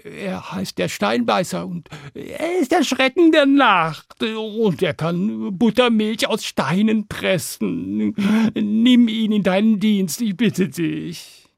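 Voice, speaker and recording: A man's voice: sits high, expressive, takes it slowly, vocal fry, dry recording, studio quality, voice pushed up, heavily acted. A man voicing a big but easily cowed dragon son in a fairy tale, hesitant and pleading.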